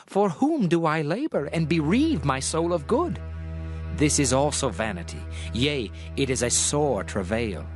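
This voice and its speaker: A man narrates a dramatized Bible reading with grave, measured declamation. His voice sits at an average male pitch. Music plays prominently underneath the narration. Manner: solemn, measured, declamatory delivery. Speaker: scripture narrator. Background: background music.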